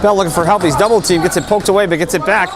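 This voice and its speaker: A man giving live play-by-play of a high school basketball game, excitable and quick to jump up in pitch on big plays, with light jokes between calls. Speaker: play-by-play announcer. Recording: loud gym noise under commentary. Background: crowd and bouncing basketballs.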